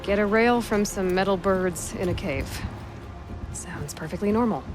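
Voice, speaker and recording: A woman's voice: medium pitch, moderate pace, natural voice. An actress playing a young female hunter in a video game, with a dry, wry and direct way of talking. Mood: determined. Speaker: game protagonist. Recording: game cutscene with loud music.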